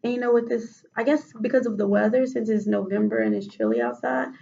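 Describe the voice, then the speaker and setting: Raspy woman, gravelly and hesitant. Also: medium pitch, expressive, brisk, warm tone, dull audio. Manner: hesitant, many fillers and restarts. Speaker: mock patient in a role-play case study. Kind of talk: role-played clinical interview.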